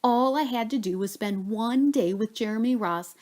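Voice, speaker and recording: A woman reading a children's story aloud expressively, with dramatic pauses and stretched key words. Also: fairly high pitch, darkish tone.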